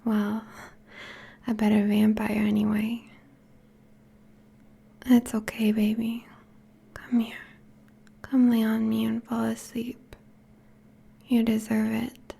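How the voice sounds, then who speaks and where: A woman playing a possessive, teasing yet tender vampire girlfriend in an intimate, whispery ASMR roleplay, speaking softly and coaxingly. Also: a little high, monotone, exaggerated voice. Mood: loving, intimate. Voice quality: breathy, whispery. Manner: slow, soft, coaxing.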